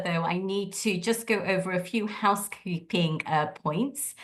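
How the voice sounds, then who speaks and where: A woman co-hosting an online event over a video call, giving housekeeping announcements in a friendly way with many filler ums. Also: fairly low pitch. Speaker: event co-host.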